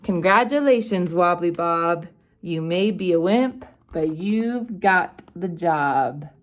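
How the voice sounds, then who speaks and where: A woman's voice: fairly low pitch, lively intonation, slow, muffled recording. A woman voicing a hungry storybook king who is picky and demanding about his food. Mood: kindly.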